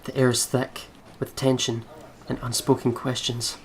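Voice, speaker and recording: A man's voice: mid-range voice, little pitch movement, moderate pace, a little hiss or hum. A man with a Scottish accent, commentating casually on a game and reading its text aloud. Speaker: let's play commentator.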